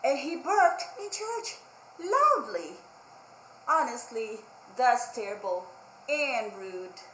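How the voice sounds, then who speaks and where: A woman's voice: on the high side, big pitch swings, slow pace, fairly bright tone, echoey room, slight background noise, poor audio. A woman hosting a children's storytime, reading a picture book aloud expressively and emphatically, with simple asides to young listeners. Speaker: children's librarian storytime host.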